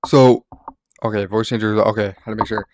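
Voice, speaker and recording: A man's voice: on the low side, level tone, brisk, noisy background. A man narrating a casual gaming tutorial in an informal, rambling way, like a content creator talking to followers.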